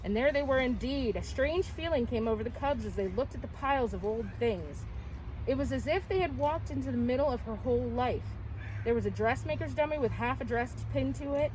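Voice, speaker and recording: A woman storyteller reading a picture book aloud to children in an expressive style. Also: a little high, a little fast. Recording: clearly noisy, with background ambience.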